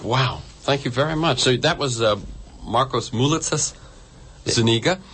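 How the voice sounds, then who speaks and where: A man's voice: mid-range voice, moderate pace, fairly bright tone, a little hiss or hum. A man hosting an interview, asking long, rambling questions with many fillers. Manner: chatty, searching for words, many fillers. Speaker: radio interview host.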